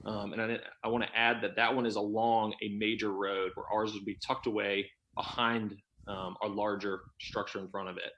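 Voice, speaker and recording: A man presenting as an applicant at a public meeting, informal and halting, pausing between short phrases. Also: fairly low pitch, level tone, fairly bright tone, highs cut off. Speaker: applicant presenting a house project.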